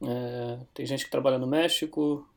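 A man with a Brazilian Portuguese accent, a software developer guesting on a podcast and chatting in a relaxed way, with many fillers and restarts. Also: mid-range voice, moderate pace, vocal fry. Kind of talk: casual chat.

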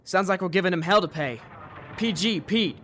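A man playing a commanding American army officer in a World War II radio drama, giving terse, gruff orders. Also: high-pitched, moderate pace, very noisy, close to an everyday voice. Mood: urgent, harried.

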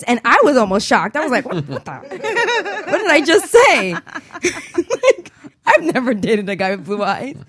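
A woman co-hosts a radio talk show and tells a personal story in a chatty, easy way. Her voice sits in the middle range for a woman. The recording is dry, with no room echo. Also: medium pitch. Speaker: co-host.

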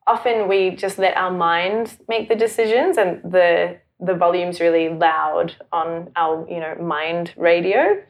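Low, creaky-voiced woman chatting informally. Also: measured pace, few pauses. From South Africa, later New Zealand. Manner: informal, animated phrasing with frequent "like". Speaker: podcast guest, sexuality and mindfulness facilitator. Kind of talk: casual conversational interview.

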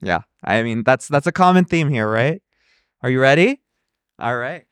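A man's voice: mid-range voice, lively intonation, normal speed, a bit bright, clean tone, no echo. A man hosting a podcast with casual on-the-spot interviews, in an upbeat, appreciative manner. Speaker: podcast host.

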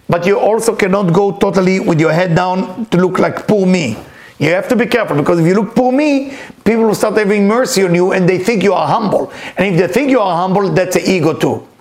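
Man with a high-set voice, Hebrew as his first language, earnest teacher who repeats points and questions himself aloud. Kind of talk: informal spiritual lesson, reading and explaining.

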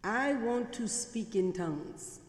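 A woman preacher voices an eager young male student from Singapore with a put-on Singaporean accent. His lines ride a little higher than her own talk. The sound rings with the long reverb of a large church. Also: expressive, takes it slowly, darkish tone. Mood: earnest. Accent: Singaporean English, put on.

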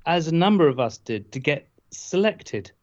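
A man's voice: on the high side, sing-song, darkish tone. Guy rambling through old political stories, lots of stops and restarts, muffled lo-fi sound. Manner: halting restarts, wry asides. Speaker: guest, former parliamentary candidate. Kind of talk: casual interview, anecdotal storytelling. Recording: dull lo-fi sound.

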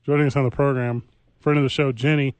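A man hosting a comedic sports radio chat, animated and breaking into mocking voices. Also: medium pitch, fast, no room sound. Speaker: sports talk show host.

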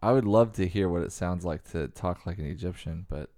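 Laid-back young man, low voice. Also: brisk, mellow, dry recording, studio quality. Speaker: podcast co-host. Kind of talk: casual chat.

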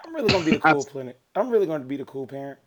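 A man with a raspy voice, co-hosting a podcast and joking in loose, slangy casual chat. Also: on the high side, very animated, racing, fairly bright tone. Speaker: podcast co-host.

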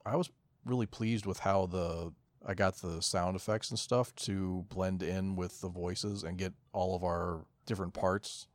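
Low-voiced man chatting on a podcast. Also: steady tone, measured pace, no echo. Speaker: podcast co-host. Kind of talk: casual chat.

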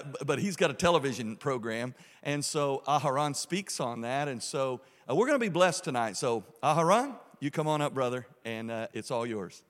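A man, the pastor, casually introducing a guest speaker to his church with many pauses and fillers. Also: medium pitch. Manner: casual, chatty, halting with fillers. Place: church hall. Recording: reverberant church hall.

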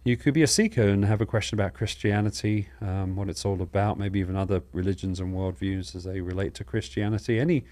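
This is a man with a fairly deep voice hosting a live call-in style program. He talks informally and welcomingly, moving through announcements and explanations in a chatty broadcast manner. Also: fast, hardly any pauses, darkish tone. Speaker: host of a live Bible question show.

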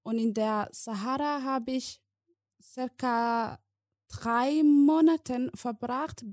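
Slow-speaking woman in her twenties from Somalia. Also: high voice, a little mellow, no echo, studio quality. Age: 20s. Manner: slow, careful, plain sentences with hesitations. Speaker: guest. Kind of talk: personal testimony in a podcast interview.

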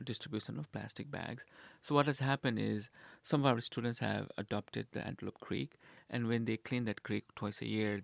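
A man's voice: on the low side, gravelly, no echo. A man from Nepal, a university sustainability director, answering interview questions earnestly and hesitantly, with many fillers and restarts.

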